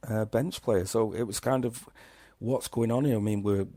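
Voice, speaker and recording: A man with a Liverpool accent and a fairly low voice chats casually about football, laughing easily and poking fun at himself. The sound is close and dry, with no room around it. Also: fairly even intonation, moderate pace, mellow, no room sound. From Crewe, England. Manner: chatty, laughs often, self-deprecating. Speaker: podcast co-host.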